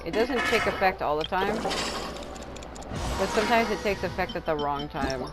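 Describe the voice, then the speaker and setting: A woman's voice: medium pitch, expressive, measured pace. A woman giving casual, rambling let's-play commentary, candid and given to swearing. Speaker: gameplay streamer. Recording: game music playing behind the voice.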